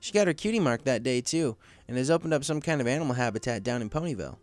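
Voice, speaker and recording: Man doing a reasonable, reassuring pony parent, raised pitch. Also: animated, quick pace, natural voice. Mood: upbeat. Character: adult pegasus pony factory co-manager, reassuring, reasonable parent. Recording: faint music under the voice.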